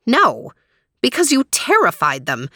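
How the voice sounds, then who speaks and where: Woman putting on an earnest young fairy lad, lifted lively voice, bright tone. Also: fairly high pitch, a little slow, no room sound, clean studio sound, full character voice. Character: earnest young male fairy, steady and stout. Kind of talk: storybook reading.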